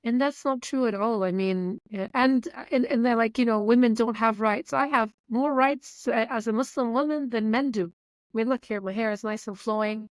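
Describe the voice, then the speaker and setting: Lively, higher-voiced woman in her fifties. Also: dry recording. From Saudi Arabia. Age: 50s. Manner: animated, candid, quick to laugh. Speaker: guest. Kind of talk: conversational interview.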